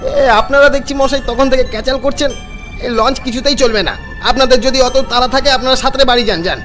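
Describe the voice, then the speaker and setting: A man playing a surly, exasperated ferry boatman in an animated story, snapping combatively. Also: very high-pitched, a little fast, soft background music. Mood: angry.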